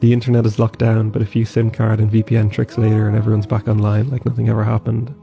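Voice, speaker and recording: A man's voice: fairly low pitch, monotone, fast, vocal fry. A man reading a wry, scripted travel narration addressed to a country. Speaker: travel vlogger. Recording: dull lo-fi voice-over with faint music.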